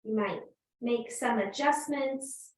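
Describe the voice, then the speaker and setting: A woman yoga teacher guiding a restorative class in a gentle, unhurried, invitational way. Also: a little high. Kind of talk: slow guided restorative yoga instruction. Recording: roomy echo, distant microphone.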